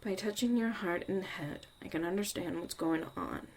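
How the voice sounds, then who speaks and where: A woman playing a young witch mother, a tough, protective and caring survivor, in an intimate, halting, confiding ASMR roleplay monologue. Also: on the low side, a little hiss or hum, lightly acted. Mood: gentle, focused.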